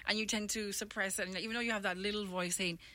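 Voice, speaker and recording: Woman host, conversational interviewer. Bright voice, reflective, mid pitch. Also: fairly even intonation, quick pace.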